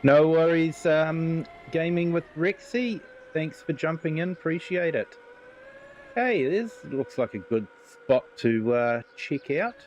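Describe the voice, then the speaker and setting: A man's voice: on the high side, expressive, takes it slowly, darkish tone, slight background noise. A man with a New Zealand accent streaming a farming game, chatting casually and reading out viewer comments. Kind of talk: casual live gameplay commentary.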